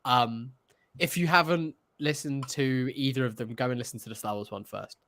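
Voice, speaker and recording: A man's voice: medium pitch, moderate pace, sharp tone. A man co-hosting a podcast, talking in a casual, conversational style.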